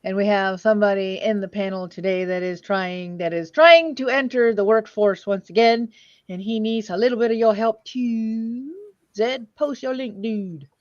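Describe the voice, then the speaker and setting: A woman's voice: medium pitch, moderate pace, no echo. Woman hosting a stream, slightly dull audio. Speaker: host of an online old-time radio stream. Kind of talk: casual livestream chat.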